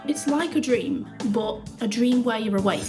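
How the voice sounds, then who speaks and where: A woman playing a dreamy, excitable young scavenger in an audio drama. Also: fairly high pitch, normal speed, over music, close to an everyday voice. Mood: awed, excited.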